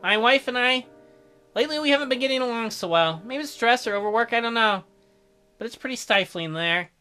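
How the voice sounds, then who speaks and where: A man voicing a shy, gentle, awkward doctor in a visual novel, with a hesitant and mild manner. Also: very high voice, expressive, brisk, clear, resonant tone, faint music behind, exaggerated voice. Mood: wistful. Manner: hesitant, mild, softly apologetic.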